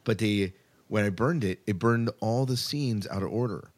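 A man's voice: fairly low pitch, moderate pace. A man co-hosting a podcast in casual chat, rambling along informally and with enthusiasm. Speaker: podcast co-host.

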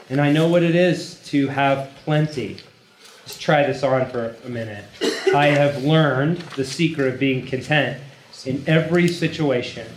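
A man preaching in an informal, conversational way to a live group, emphatic and repeating phrases for weight. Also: mid-range voice. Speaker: preacher leading a teaching session. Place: room, speaking live to a gathering. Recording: echoey room with background noise.

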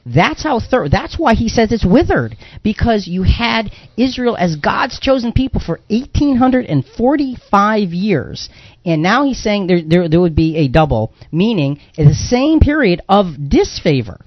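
A man's voice: sits high, very animated, unhurried, dry recording. Male call-in show host, dull radio sound. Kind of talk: conversational religious call-in discussion. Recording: dull, band-limited radio audio.

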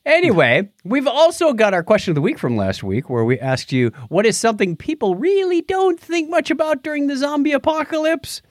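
A man's voice: very high voice, big pitch swings, fairly quick, hardly any pauses, dry recording, studio quality. An American man hosting a lighthearted podcast, chatting casually in a jokey, playful way. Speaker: podcast host.

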